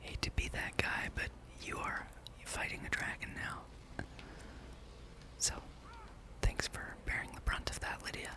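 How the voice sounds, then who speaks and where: A person speaking in a breathy, unvoiced whisper, giving rambling, playful ASMR commentary while playing a video game. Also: unhurried. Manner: hushed, playful musing, reads game dialogue aloud. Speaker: gameplay streamer.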